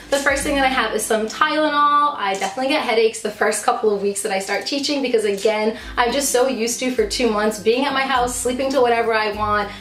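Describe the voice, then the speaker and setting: A woman talks in a fairly high voice that is a little hoarse from overuse. She chats casually to camera like a vlogger, leaning on emphatic repeats. The room adds noticeable echo, and faint music plays behind her. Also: a bit bright. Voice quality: slightly hoarse. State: losing voice from talking. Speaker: vlogger, fifth grade teacher. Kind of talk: casual chatty product show-and-tell to camera.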